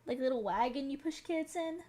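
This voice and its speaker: A young woman with a Southern American accent and a high voice, streaming a game and chatting with her viewers. She giggles a lot and keeps the talk playful and loose. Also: lively intonation, fairly quick, warm tone. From Southern United States. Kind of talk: casual chat while gaming.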